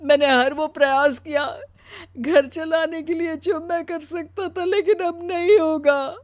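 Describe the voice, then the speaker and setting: A put-upon young Indian husband in a cartoon, acted by a woman. The voice is high for the part and closely recorded with a dry sound. He talks in a tired, aggrieved, pleading way. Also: fairly even intonation, measured pace, no room sound. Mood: anguished. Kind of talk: Hindi cartoon story dialogue.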